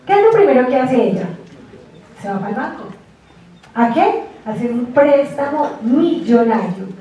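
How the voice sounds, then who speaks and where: A woman giving a university lecture, chatty and lively, often asking the class rhetorical questions. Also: high voice, normal speed, slight background noise. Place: lecture room. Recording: echoey hall, distant mic.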